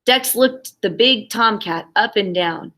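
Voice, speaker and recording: A woman's voice: mid-range voice, slow pace, bright, forceful tone. A woman primary school teacher reading a story aloud expressively and talking simply and encouragingly to young children.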